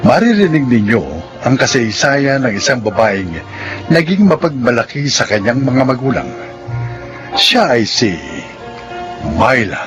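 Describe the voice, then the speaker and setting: Casting: Filipino male radio drama announcer, slow and formal, expressive intonation, music bed. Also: mid-range voice. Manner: measured, formal. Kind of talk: scripted, dramatic narration.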